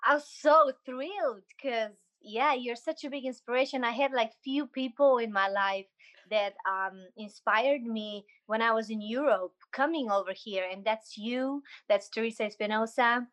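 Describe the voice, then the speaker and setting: A woman's voice: a little high, moderate pace, a bit bright. A woman from Slovenia with a Slovenian accent, speaking as a podcast guest, a dancer and choreographer, in enthusiastic, gushing casual chat.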